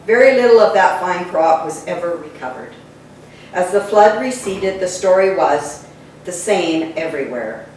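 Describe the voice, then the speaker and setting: A woman reading local history memoirs and letters aloud, in measured short phrases like storybook narration. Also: lowish voice, expressive, a little mellow. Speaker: reader of a local history book. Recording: very echoey room, distant mic, faint noise.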